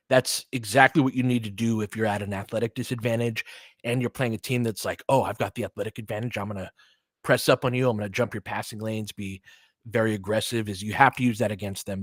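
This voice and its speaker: A man's voice: fairly low pitch, dry recording. Man, casual basketball commentary, dry close sound. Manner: conversational, slangy, swears casually. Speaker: podcast host doing play-by-play.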